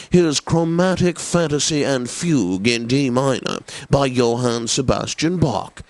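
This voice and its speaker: A man playing a pompous, refined classical radio DJ in a video game, presenting with affected, deliberate enunciation and droll gentility. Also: medium pitch, no echo.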